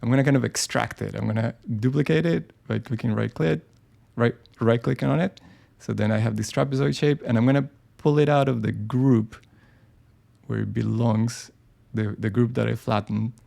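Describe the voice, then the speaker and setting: A man's voice: medium pitch, fairly even intonation, normal speed, vocal fry, dark tone. A man walking through a design tutorial live on screen, talking casually and thinking aloud, with frequent ums and restarts. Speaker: design software presenter.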